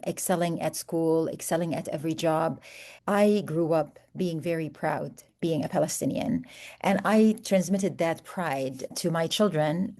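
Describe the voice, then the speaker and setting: Author in her fifties, raised in Saudi Arabia; low, warm voice, earnest and steady. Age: 50s. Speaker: contributing author. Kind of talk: personal introduction on a panel.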